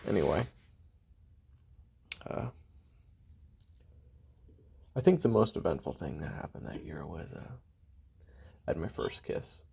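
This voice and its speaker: A man playing a weary, bitter, regretful assassin in an audio drama, confiding a halting memoir in a gravelly, husky voice that drops toward whispers. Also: animated, darkish tone, heavily acted. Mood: wry, reflective. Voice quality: very deep, gravelly, husky, often breathy. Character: weary, bitter, regretful male assassin recounting his youth. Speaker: voice actor in an audio roleplay. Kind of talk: confessional taped memoir monologue, audio drama.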